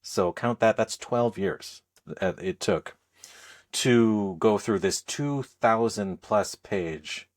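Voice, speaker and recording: A man's voice: lowish voice, slow pace. A middle-aged man hosting a podcast in a casual, conversational style. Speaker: podcast host.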